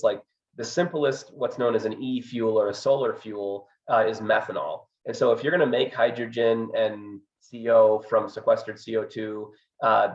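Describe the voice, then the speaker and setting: A man giving a research talk as an engineering professor, hoarse from a cold, speaking hesitantly with many fillers. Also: on the low side, highs cut off. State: hoarse, getting over a cold.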